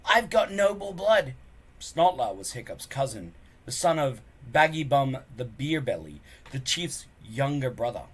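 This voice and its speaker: A man reading a children's book aloud as an expressive narrator. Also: medium pitch, unhurried, hardly any pauses, husky. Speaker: storybook reader.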